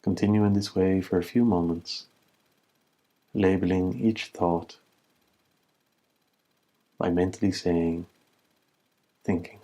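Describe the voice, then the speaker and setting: A man giving guided meditation instruction as a teacher, in measured short phrases with long pauses. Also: sits low, steady tone, dark tone, poor audio.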